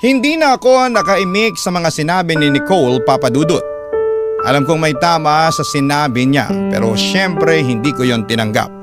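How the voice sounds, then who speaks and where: A man's voice: fairly high pitch, moderate pace. A man reading out a listener's letter as a radio host, in scripted first-person story narration. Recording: loud piano music behind. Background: piano music.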